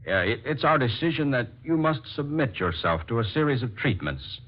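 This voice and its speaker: A man's voice: medium pitch, very animated, normal speed. An American man playing a solemn medical board specialist, hesitant and formal, in a 1940s radio drama. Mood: solemn, official. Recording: dull lo-fi vintage radio.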